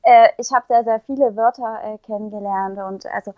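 A young woman from Saxony, talking with only a trace of her Saxon accent left. She chats casually and hesitantly, filling pauses with "ähm". The recording is dry and a little dull. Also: a little high, fairly even intonation, dry recording. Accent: faint Saxon. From Erzgebirge, Saxony. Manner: chatty, hesitant with fillers. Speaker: interview guest. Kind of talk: casual podcast chat.

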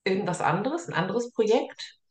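A woman's voice: medium pitch, animated, moderate pace, a little mellow. A woman hosting a casual, chatty interview, recorded with an echoey, roomy sound.

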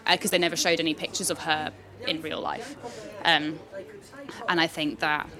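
A woman's voice: low voice, level tone, normal speed. A woman co-hosting a podcast, chatting casually about films with many restarts. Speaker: podcast co-host. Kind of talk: casual film review chat. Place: pub. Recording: noisy pub with crowd. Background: pub crowd chatter.

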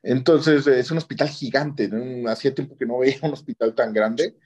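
A man's voice: medium pitch, moderate pace, a little mellow, slightly rough. A man with a Mexican Spanish accent tells a personal story conversationally as an interview guest, often checking in with questioning tags at the ends of phrases. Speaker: interview guest, orthopedic surgeon. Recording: dull lo-fi audio.

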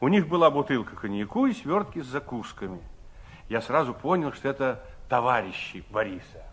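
An actor playing a calm, kindly older man, soothing and gently wry, in a 1960s radio play. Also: mid-range voice, a little fast, husky. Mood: reflective narration. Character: calm, kindly older man, reassuring family friend and storyteller.

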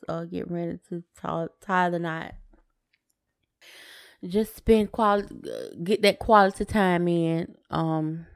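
A woman's voice: lowish voice, creaky, smooth, dry recording, clean studio sound. A middle-aged woman chatting casually and conversationally as a podcast co-host. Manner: colloquial, conversational. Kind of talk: casual chat.

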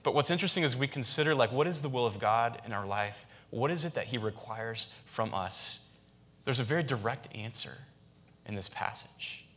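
Bright-voiced man preaching conversationally. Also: mid-range voice, expressive, moderate pace. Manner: conversational, many restarts and fillers. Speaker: pastor. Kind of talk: sermon.

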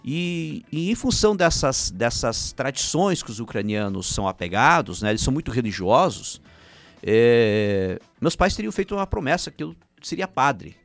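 A man's voice: mid-range voice, sing-song, moderate pace, gravelly, soft background music. Chatty man with Ukrainian roots. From Paraná, Brazil. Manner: rambling, frequent restarts and "né?" tags. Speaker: filmmaker guest. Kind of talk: casual interview chat.

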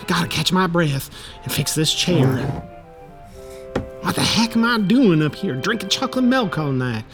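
A goofy, panicky writer in a comic radio play, over loud music. The delivery is melodramatic and apologetic, full of exclamations and folksy mild oaths. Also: mid-range voice, lively intonation, a little slow. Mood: remorseful. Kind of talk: comedic audio drama acting.

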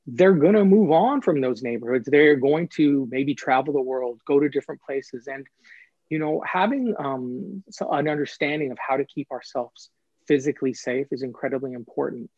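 A man's voice: medium pitch, no echo, dull audio. A man speaking as an author being interviewed, explaining things in an earnest, emphatic way and repeating phrases for stress.

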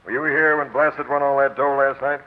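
An actor with an American accent playing a no-nonsense police captain, terse and authoritative, in a 1940s radio drama. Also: male voice, medium pitch, fairly even intonation, a little fast, lightly acted. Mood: brisk, businesslike. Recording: tinny old radio broadcast.

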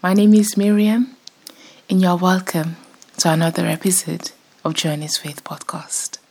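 A woman in her twenties hosting her own podcast, chatting casually and rambling with frequent little laughs, her voice sounding rougher and deeper than usual. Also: little pitch movement, a little slow, very noisy. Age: 20s. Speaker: podcast host. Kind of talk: casual solo podcast chat.

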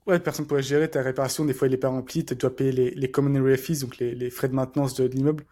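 A man's voice: medium pitch, flat delivery, fast, mellow. A man chatting casually as a podcast co-host, rambling with lots of fillers and restarts. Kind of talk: casual chat.

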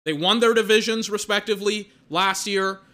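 A young man with a bright, ringing voice sitting slightly high calls a football game live from his desk. He talks fast and loose, full of filler words, breaking off to react to big plays. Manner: excitable, peppered with filler words and mock voices. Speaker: watch-along football commentator. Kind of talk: casual live play-by-play and analysis.

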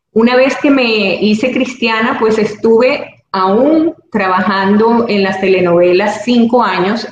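A woman's voice: medium pitch, lo-fi sound. A woman from Venezuela with a Venezuelan Spanish accent, an actress and producer giving thoughtful, earnest interview answers. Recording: very echoey room, faint background noise.

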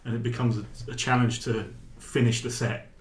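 English spoken word performer, rambling and self-interrupting, mid-pitched, roomy echo with faint hiss. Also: male voice, flat delivery, moderate pace. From England. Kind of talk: casual interview chat.

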